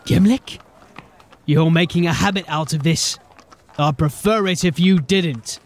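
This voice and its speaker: A man's voice: on the high side, measured pace. A man playing a grim, determined city watch officer in a fantasy audio drama, speaking in a terse, commanding way. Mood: wary.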